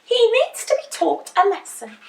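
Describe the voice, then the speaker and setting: Woman acting exclamatory storybook townsfolk, pitched way up high. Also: very animated, moderate pace, vocal fry, heavily acted. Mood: indignant. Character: scheming townspeople, feigning apology, storybook characters. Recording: roomy echo, distant microphone.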